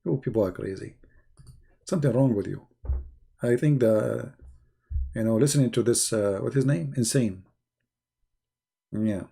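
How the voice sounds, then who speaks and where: Gravelly sarcastic man. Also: medium pitch, measured pace, long pauses, mellow. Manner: rambling, sarcastic, fillers like "you know". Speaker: channel host. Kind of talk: joking livestream chat with viewers.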